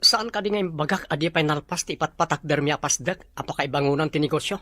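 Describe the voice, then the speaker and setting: Bright-voiced stubborn heir played by a man. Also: a little high, fast talker, dry recording, clean studio sound. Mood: irritated, dismissive. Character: stubborn businessman's son avoiding marriage. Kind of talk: Ilocano radio-style drama acting.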